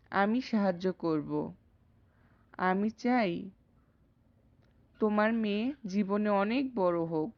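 A woman's voice: medium pitch, no echo, dull audio, close to an everyday voice. A woman voicing a kind, generous well-off lady employer in a cartoon. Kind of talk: acted cartoon dialogue.

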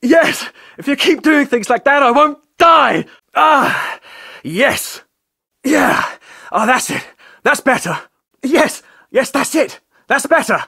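A man's voice: very high-pitched, lively intonation, slow pace, vocal fry, bright, forceful tone, dry recording, studio quality, heavily acted. An actor voicing a breezy, witty young male tour guide who teases playfully. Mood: cheering. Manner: playful, teasing.

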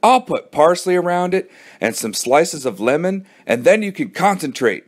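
A man's voice: fairly high pitch, sing-song, normal speed, voice pushed up. A man voicing an eager, encouraging boy in a children's audiobook, insistently coaxing a friend.

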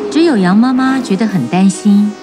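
A woman's voice: mid-range voice, normal speed, over music. A woman storyteller giving scripted narration for a children's tale.

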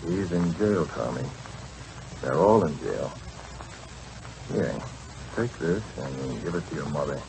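An actor playing a terse, commanding masked vigilante in a 1940s radio drama. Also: male voice, extremely low pitch, expressive, slow, gravelly, pauses often, darkish tone, exaggerated voice. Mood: quiet, reassuring. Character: masked male vigilante posing as a racketeer, commanding. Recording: noisy vintage radio recording.

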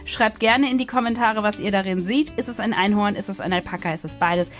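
A woman's voice: medium pitch, fairly quick. A woman chatting casually as she hosts a craft demonstration, thinking aloud while she works. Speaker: host of a craft haul video. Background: music.

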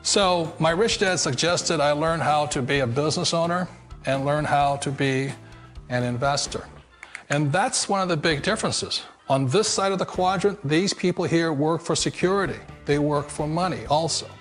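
Older guy teaching at a whiteboard, slightly high voice, light music behind. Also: a little slow, fairly bright tone. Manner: plain, teaching, explains at a whiteboard. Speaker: author and speaker. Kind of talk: archive lecture clip.

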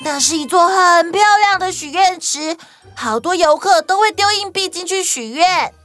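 Woman as a high-pitched, childlike, teasing companion. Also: moderate pace, fairly bright tone, faint music behind. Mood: cheerful, helpful. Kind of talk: children's audio story voice acting.

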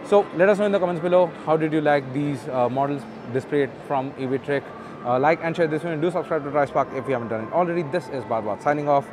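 A man's voice: fairly high pitch, fast, background noise. A man with an Indian English accent, an auto show presenter walking through a large indoor expo hall, filming on a handheld phone from behind the camera and casually reading out spec sheets with plenty of fillers. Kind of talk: off-camera walkaround narration. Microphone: handheld phone camera, speaker off-screen.

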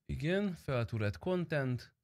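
A man's voice: mid-range voice, animated, a little mellow, dry recording. A young man chatting casually on a game livestream in informal, slangy, sometimes profane speech. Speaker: game streamer.

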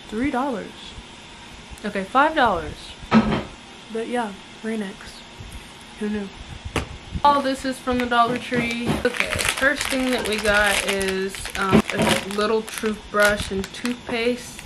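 Chatty young woman in a noisy living room. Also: medium pitch. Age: young adult. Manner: chatty, relaxed, lists items with asides. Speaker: vlogger. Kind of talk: casual haul vlog. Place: living room, on a couch indoors.

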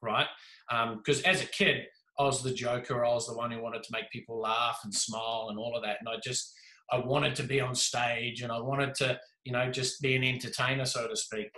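Bright-voiced man with a New Zealand accent. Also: medium pitch, moderate pace. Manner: animated, gestures a lot, jokey. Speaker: podcast guest. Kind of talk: casual storytelling. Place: small room indoors. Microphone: video call with desk mic. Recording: echoey room.

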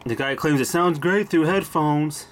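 A man's voice: a little high, measured pace. A man giving casual, blunt, salty commentary as he does hands-on repair work. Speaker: hobbyist repairer showing a vintage machine. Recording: noisy amateur camera audio, mechanical hum.

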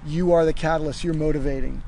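A man's voice: fairly high pitch, fairly even intonation, fast, creaky, mellow, a little hiss or hum, poor audio. A middle-aged man hosting an outdoor interview in a park, rambling and restarting his sentences as he talks. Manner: rambling, repeats words, restarts often. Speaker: podcast host. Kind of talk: casual interview chat. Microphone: phone camera.

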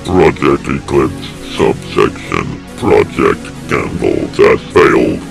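Extremely deep, gravelly ominous announcer played by a man. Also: big pitch swings, slow, music in the background, full character voice. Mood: cold, ominous. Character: ominous, impersonal male official announcement voice. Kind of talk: scripted analog horror announcement.

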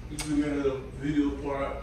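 A man's voice: fairly high pitch, completely flat delivery, brisk, far from the mic, noisy background. A man bantering casually from off camera. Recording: very echoey, off mic, background voices.

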